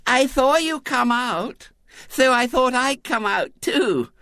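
A man's voice: very high-pitched, animated, slow pace, bright, forceful tone, dry recording, voice pushed up, exaggerated voice. A man voicing a self-important six-year-old girl who is insistent and plaintive, in a storybook reading. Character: bossy, triumphant six-year-old girl, persistent and wheedling.

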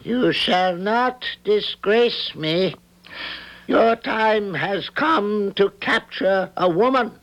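A man playing a stern, high-voiced high priest. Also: extremely slow, fairly bright tone, dull audio, full character voice. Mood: solemn. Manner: commanding, intoning rules as ritual. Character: stern male high priest, father, rigid zealot. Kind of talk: radio drama acting.

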